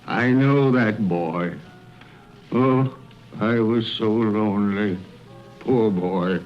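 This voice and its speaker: A man's voice: on the low side, extremely slow. An actor playing a frightened, remorseful old miser in a radio drama, exclaiming, stammering and repeating his words. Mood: wistful, regretful. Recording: muffled radio broadcast with music underneath.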